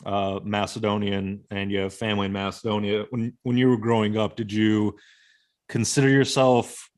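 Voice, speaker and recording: A man's voice: lowish voice, flat delivery, moderate pace, a little mellow. A man hosting an interview, asking questions in a loose, chatty way with fillers. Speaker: interview host.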